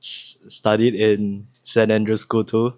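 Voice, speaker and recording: A man's voice: fairly low pitch, flat delivery, takes it slowly. A young university-age man from Brunei chatting on a podcast, laid-back with short answers. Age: young adult. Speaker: podcast guest. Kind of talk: casual chat.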